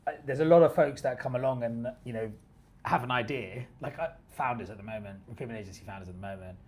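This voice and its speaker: A man's voice: medium pitch, fast, darkish tone, a little hiss or hum. A man hosting a podcast, interviewing in a casual, chatty way. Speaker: podcast host. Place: company office used for podcast recording.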